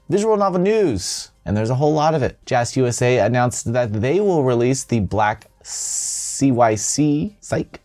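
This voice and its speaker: A man's voice: medium pitch, a little mellow. A man hosting a gaming news show, reading scripted headlines with casual, playful and self-deprecating asides. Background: music.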